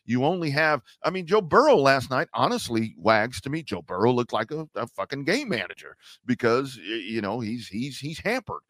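A man's voice: medium pitch, expressive, normal speed, few pauses, fairly bright tone, no echo. A man co-hosting a sports podcast, talking loosely, with many stammered restarts and frequent swearing. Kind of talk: casual sports talk.

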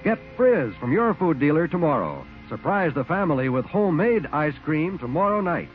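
Higher-pitched vintage radio ad man. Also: very animated, moderate pace. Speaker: radio commercial announcer. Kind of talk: 1940s scripted radio advertising. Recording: tinny 1940s radio broadcast with music.

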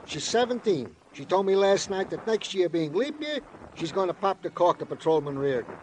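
Male, aggrieved comic-relief sergeant, highish voice, pompous wording, faintly noisy vintage radio sound. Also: sing-song, moderate pace, a little mellow. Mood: aggrieved, worried. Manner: comically pompous, mangled flowery phrasing. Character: fretful, aggrieved middle-aged police sergeant and fussy father. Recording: noisy old radio recording.

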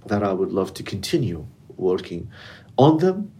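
A man's voice: sits low, sing-song, normal speed, dark tone, husky. A middle-aged man whose first language is Arabic, speaking earnestly in a casual interview with non-native English grammar. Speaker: interviewee, community educator.

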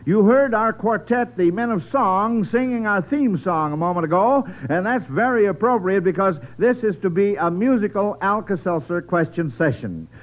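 A man's voice: high-pitched, expressive, moderate pace, hardly any pauses. An American man hosting a vintage radio quiz for children in a chatty, avuncular way. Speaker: quiz show host. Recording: tinny vintage radio broadcast.

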